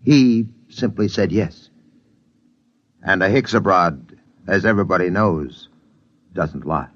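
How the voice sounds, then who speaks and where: Low-voiced, knowing spaceman. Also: slow. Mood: knowing, sincere. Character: knowing, calm spaceman partner explaining things. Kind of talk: 1950s radio drama acting. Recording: dull old radio recording.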